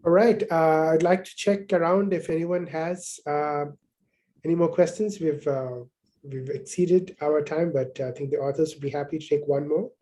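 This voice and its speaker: A man's voice: a little high, fairly even intonation, normal speed, dark tone. A man moderating an online book talk, courteously calling on audience members with some hesitation. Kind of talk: hosting a Q&A session.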